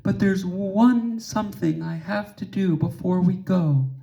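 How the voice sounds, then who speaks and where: A man's voice: high voice, unhurried, creaky, warm tone, dull audio, pitched above the performer's own voice. A man voicing an eager, dramatic little girl in a storybook, earnest and emphatic.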